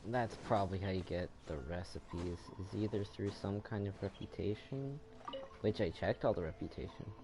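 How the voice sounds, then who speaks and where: Very low male voice, creaky, casual game commentary, rambling, thinking aloud, music behind. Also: normal speed, background noise. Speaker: video game streamer.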